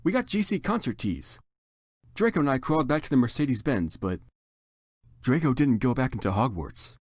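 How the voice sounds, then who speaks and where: A man's voice: medium pitch, sing-song, moderate pace, a little mellow, no room sound, studio quality. A man giving a theatrical, mock-serious dramatic reading of fan fiction as the narrator. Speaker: reader.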